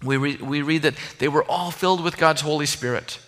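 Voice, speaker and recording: A man with a Minnesota accent delivers a sermon in a bright, forward voice that sits a little high for a man. He preaches earnestly and conversationally, with a long reverb trailing his words. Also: brisk. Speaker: pastor.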